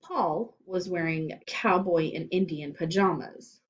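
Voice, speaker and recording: A woman storyteller reading a children's book aloud in animated scripted narration. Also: on the low side, slow, lo-fi sound, slight background noise.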